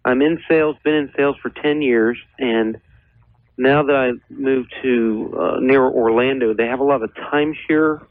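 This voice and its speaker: A man's voice: mid-range voice, normal speed, no echo. An American man in his thirties, a caller to a radio advice show, chatting casually and asking questions. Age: 30s. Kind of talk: casual phone conversation. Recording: thin, phone-like radio call-in line.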